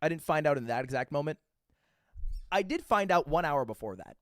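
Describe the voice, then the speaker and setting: A man in his twenties, a streamer reacting to a video, telling a story with excitable, profane energy and acting out what others said. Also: fairly high pitch, brisk, no room sound, studio quality. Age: 20s. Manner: excitable, profane, acts out quotes.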